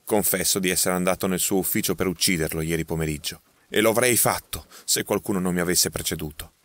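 A man's voice: low-pitched, fast, a bit bright, no room sound. A man voicing a frightened young man accused of murder, his words tumbling out in agitation. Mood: distraught. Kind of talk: audiobook character voicing.

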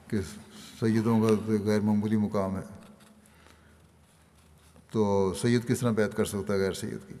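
An older man with a Pakistani Urdu accent delivering a Friday sermon, reading from notes at a podium microphone in a large mosque hall in a measured, solemn manner. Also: on the low side, mellow. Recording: long reverb of a large hall.

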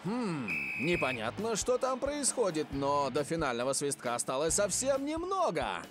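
A man voicing an excitable football commentator in a cartoon, with a dramatic, exclamatory delivery. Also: on the high side, moderate pace, music in the background. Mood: uncertain, tense.

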